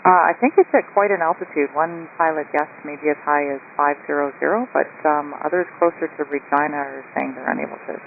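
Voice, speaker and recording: A man's voice: fairly high pitch, fairly even intonation, a little fast, gravelly, few pauses, phone-quality sound. A man working as an air traffic controller, questioning pilots over a radio link. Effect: radio transmission.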